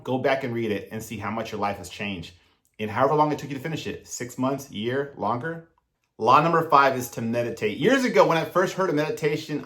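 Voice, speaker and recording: Man, thirties, motivational vlogger, earnest, direct, coaching tone, mid-pitched. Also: fairly bright tone. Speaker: self-improvement YouTuber. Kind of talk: motivational advice monologue to camera.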